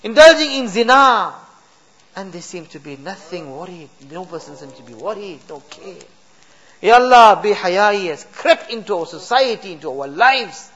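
Guy praying out loud in a mosque, high voice swinging all over. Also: big pitch swings, moderate pace, dull audio. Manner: pleading, rising to impassioned cries. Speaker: imam leading a supplication. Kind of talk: emotional prayer in a mosque.

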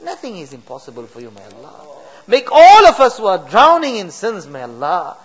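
A man's voice: high-pitched, wildly swooping pitch, brisk, low-quality recording. Pleading man leading a mosque prayer. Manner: pleading, rising to impassioned cries. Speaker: imam leading a supplication.

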